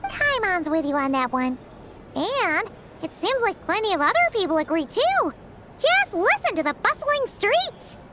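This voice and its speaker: A woman voicing a chirpy, childlike fairy companion in an anime video game, excitable and cute. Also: extremely high pitch, a little slow, heavy background noise, heavily acted. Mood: cheerful. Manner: excitable, cute, refers to self in third person. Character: chirpy small female fairy companion, childlike, speaks of herself by name. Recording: music behind the voice.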